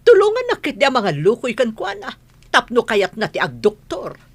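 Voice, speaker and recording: A woman's voice: fairly high pitch, huge pitch swings, normal speed. A woman playing a proud, insistent mother and mistress of a household in a radio drama, speaking defensively. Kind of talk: Filipino radio drama acting.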